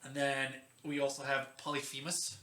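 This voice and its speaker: A man's voice: medium pitch, completely flat delivery, moderate pace, pushed and bright. A man hosting a podcast in a casual, joking, informal explainer style, stumbling over unfamiliar names. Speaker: podcast host. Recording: roomy echo, faint background noise.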